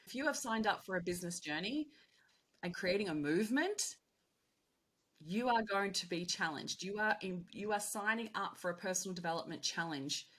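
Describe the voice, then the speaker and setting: A husky-voiced woman speaks as a podcast guest, talking with lively energy about getting past self-doubt. She slips into little acted-out inner voices as she coaches. Her tone is slightly breathy and rough. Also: medium pitch, normal speed. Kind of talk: casual interview chat.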